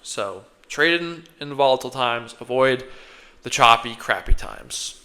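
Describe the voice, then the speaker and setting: A man's voice: medium pitch, expressive, measured pace, sharp tone. A man with a slight cold, sniffling as he rambles through a self-critical monologue close to his microphone. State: a little sick, sniffling. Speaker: day trader reflecting on his own trading. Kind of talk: casual self-reflective monologue.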